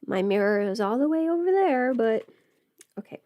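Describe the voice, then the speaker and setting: A woman's voice: fairly high pitch, expressive, normal speed, dry recording, dull audio. A woman livestreamer chatting casually while getting ready, rambling and interrupting herself as she thinks aloud.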